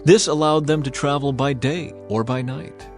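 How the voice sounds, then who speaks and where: A man's voice: mid-range voice, moderate pace. A man narrating scripture in a scripted, dramatized audiobook style. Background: music.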